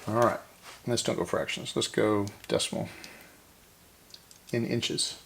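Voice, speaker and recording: A man with a lowish, gravelly voice narrates a hands-on repair in a casual way. He thinks aloud and often restates what he just said. Faint noise and clicks sit behind his voice. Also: a little slow, a bit bright. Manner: thinks aloud, often corrects himself mid-task. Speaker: host of a radio repair channel.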